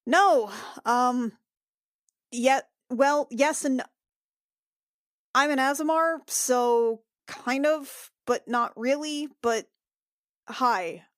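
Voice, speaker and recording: A woman's voice: sits high, very slow, pauses often, no echo, clean studio sound, pitched above the performer's own voice. A woman playing an awkward, apologetic celestial-blooded healer in a tabletop game, hesitant and fumbling for words. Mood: flustered, awkward.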